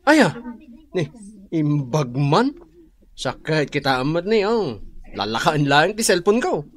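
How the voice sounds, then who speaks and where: A man's voice: a little high, very animated, a little slow. An actor playing a friendly, chummy male workmate who exclaims eagerly in a radio drama. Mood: admiring.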